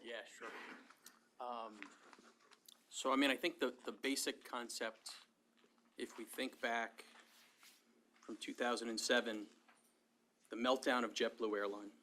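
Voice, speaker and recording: A man giving measured remarks at an advisory committee meeting, thinking aloud with frequent restarts. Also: mid-range voice, level tone, sharp tone, slight background noise. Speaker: committee member.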